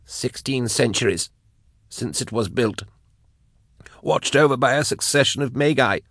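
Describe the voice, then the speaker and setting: A man voicing a tormented, devout woman captive in an audiobook, her words strained, halting and unwilling as she is forced to confess. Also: a bit bright, dry recording, studio quality. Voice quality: strained, high-pitched. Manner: tight, unwilling, halting, whining. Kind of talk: dramatised audiobook dialogue.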